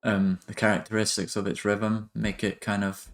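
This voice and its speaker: A man's voice: fairly low pitch, level tone, moderate pace. A man narrating a music production tutorial in a casual, hesitant, improvised way.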